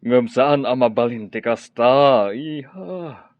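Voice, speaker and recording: A man's voice: mid-range voice, lively intonation, slow pace, no echo. A man playing a firm, cautious middle-aged father in a radio drama. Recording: dry muffled audio with faint music.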